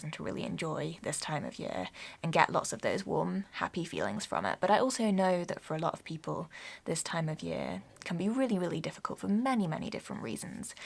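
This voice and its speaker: A young woman from England, chatting casually in a home vlog, gentle and sometimes dropping to a soft whisper. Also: on the low side, creaky. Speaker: vlogger. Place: home, sitting by a Christmas tree.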